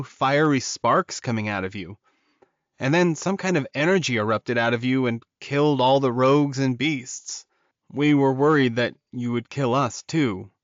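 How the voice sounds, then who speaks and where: A man acts out a worried, protective young male werewolf in a romance audiobook. He speaks earnestly and emphatically at a medium pitch. The recording is dry and a little dull. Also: dry recording, lightly acted.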